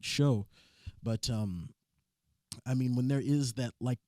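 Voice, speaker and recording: Young man, raspy, warm dark tone, dry sound, rambling guest chat. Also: mid-range voice, slow pace, dry recording. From Southern California. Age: young adult. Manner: rambling, stammers on restarts. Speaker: musician guest. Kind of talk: casual interview chat.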